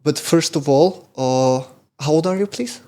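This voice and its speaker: A man hosting a podcast interview, who often pauses and restarts with fillers as he frames his questions. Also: a little high, dark tone. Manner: halting, many fillers and restarts while framing questions. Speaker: podcast host.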